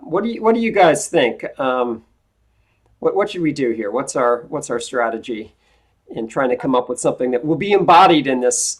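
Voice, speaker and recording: A woman instructor playing a politician's aide in a classroom role-play, talking frankly and informally over a video call, her voice lower than usual today. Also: breathy edge. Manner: frank, informal, restarts often.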